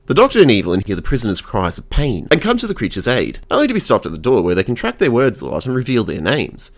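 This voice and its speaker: Animated Australian man reviewing, swinging pitch. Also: quick pace, vocal fry. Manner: irreverent, crude humour. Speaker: video reviewer. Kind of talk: scripted review narration.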